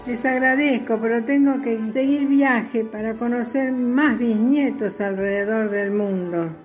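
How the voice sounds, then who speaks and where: A woman's voice: a little high, a little slow, soft background music, close to an everyday voice. A woman playing a spirited, kindly old great-grandmother and retired aviatrix in a children's radio play, with an Argentine accent and exclaiming delivery. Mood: delighted wonder. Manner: animated, exclaiming. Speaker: student voice actor.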